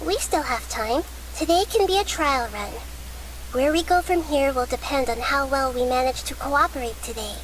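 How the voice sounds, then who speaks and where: A woman playing a gentle, thoughtful young goddess of wisdom in a video game, calm and measured in formal phrasing. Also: high voice, natural voice, low-quality recording. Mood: diplomatic. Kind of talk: video game character acting. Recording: game audio with background noise.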